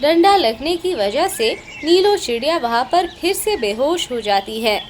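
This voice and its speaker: A woman's voice: sits high, lively intonation, measured pace, a little hiss or hum. A woman reading scripted narration for a children's cartoon story.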